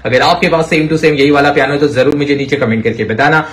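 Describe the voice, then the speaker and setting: A young adult man with an Indian accent presenting toys to children in an excited, exclamatory, emphatic way. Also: fairly high pitch, fast. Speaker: toy review channel host. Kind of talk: excited toy showcase commentary for children.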